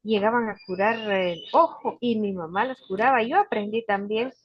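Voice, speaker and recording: An older woman, unhurried and full of restarts, telling family stories as a guest on a video call. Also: medium pitch, husky, no echo. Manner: warm, unhurried storytelling with frequent restarts. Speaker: guest speaker, forest guardian. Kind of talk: casual storytelling interview. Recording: dull lo-fi video call audio.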